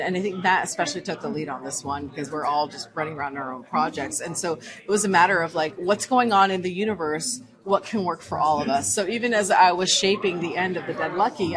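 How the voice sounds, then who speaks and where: A woman's voice: lowish voice, rough recording. Woman explaining informally over convention crowd. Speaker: comic writer, interview guest. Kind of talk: casual interview answers. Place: convention floor, recorded live. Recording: busy convention crowd behind. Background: crowd chatter.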